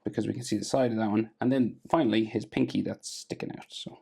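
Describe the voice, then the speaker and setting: An Irish man with a gravelly, husky voice, casually talking through a drawing lesson step by step and trailing off quietly as he works. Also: fairly low pitch, fast. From Ireland. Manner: relaxed, thinking aloud while drawing, trailing off quietly. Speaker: drawing tutor.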